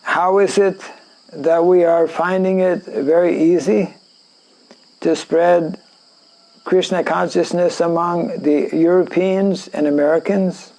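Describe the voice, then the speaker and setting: A French Canadian man, a native French speaker, teaching a scripture class in a gravelly, dark-toned voice that sits a little high. He speaks very slowly, pausing on fillers and clearing his throat again and again as he explains the verse. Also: level tone, poor audio. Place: indoor class in a rural town.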